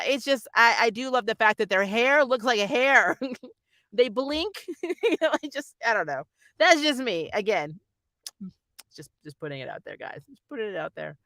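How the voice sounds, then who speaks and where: Bright-voiced woman chatting casually, dry close sound. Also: high voice, no echo. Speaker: anime reviewer and vlog host. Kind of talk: casual, rambling review chat to viewers.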